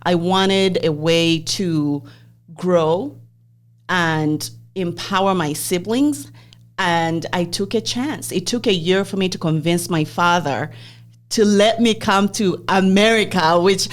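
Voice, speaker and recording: A woman in her forties, originally from Zambia, hosts a podcast and talks through her own life story. Her voice sits a little low and her delivery is open and reflective. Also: takes it slowly. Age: 40s. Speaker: podcast host. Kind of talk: personal interview conversation.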